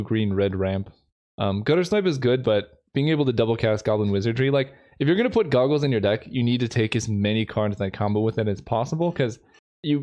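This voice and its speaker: A man with a hoarse voice, casually commenting to himself as he drafts cards for a video, thinking aloud with lots of fillers. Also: mid-range voice, darkish tone. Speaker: gaming video creator. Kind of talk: casual commentary while drafting a card game.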